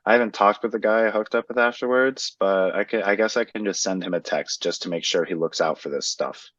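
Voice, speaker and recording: A man's voice: lowish voice, fairly quick, fairly bright tone, dry recording, close to an everyday voice. A man playing a patient in a training role-play who answers health questions in a plain, cooperative and slightly hesitant way. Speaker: patient being interviewed. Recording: slightly muffled dry recording.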